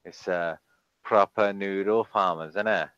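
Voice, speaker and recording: A man's voice: low voice, fairly even intonation, measured pace, a bit bright, clear, resonant tone, dry recording. A man chatting casually as host, laid-back and a bit hesitant. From Wales. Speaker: stream host. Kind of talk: casual chat. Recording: dry, dull lo-fi audio.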